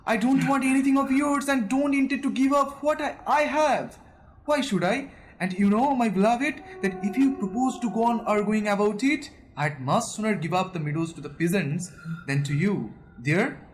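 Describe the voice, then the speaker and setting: A man's voice: high-pitched, normal speed, slight background noise, close to an everyday voice, rough recording. A young man, a student actor playing an irascible, condescending older landowner and father in an amateur audio drama. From India. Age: young adult. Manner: blustering, condescending.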